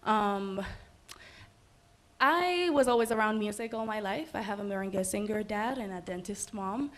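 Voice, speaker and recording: A woman's voice: medium pitch, lively intonation, moderate pace. A young woman from the Dominican Republic, a musical guest chatting casually in an interview, upbeat and full of ums. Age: young adult.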